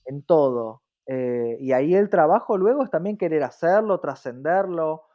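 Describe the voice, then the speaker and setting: A woman's voice: sits low, expressive, moderate pace, highs cut off. A woman with an Argentine accent explaining things conversationally as an interview guest, reflective, often checking in with rhetorical questions.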